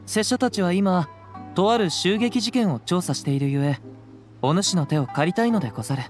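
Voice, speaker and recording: A young wandering samurai from an anime game. He is composed and humble, speaking in old-fashioned courteous phrases at a fairly high male pitch. Music sits clearly beneath the voice. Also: moderate pace. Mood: calm, courteous. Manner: archaic samurai speech, calm and courteous. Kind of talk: Japanese anime game dialogue. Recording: music under the voice.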